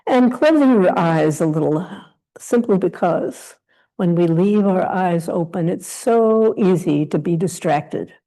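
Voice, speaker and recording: A woman guides a meditation in a mellow, fairly low voice. She gives gentle instructions in short phrases and leaves long pauses between them. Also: expressive, low-quality recording. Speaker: meditation teacher leading a guided meditation.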